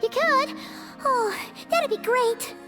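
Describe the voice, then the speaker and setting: A woman voicing a tiny, chirpy, childlike fairy companion, excitable and chatty, in an anime-style video game. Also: very high-pitched, slow, music in the background. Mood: chatty, curious. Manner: refers to self in third person, exclamatory.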